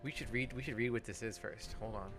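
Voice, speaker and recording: A man's voice: on the low side, fairly even intonation, fast talker, fairly bright tone, soft background music. A man hosting a let's play, giving casual gaming commentary.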